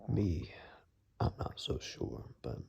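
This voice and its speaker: A man playing a weary, rugged monster hunter in an ASMR roleplay, grave and halting, in a gravelly, breathy near-whisper. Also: mellow, lo-fi sound, close to an everyday voice. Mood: grave, warning.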